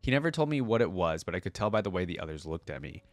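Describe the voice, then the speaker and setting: Low-voiced man, dry close sound. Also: big pitch swings, very fast, no echo. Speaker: reaction video host. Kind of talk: reading a story aloud and casual fan commentary.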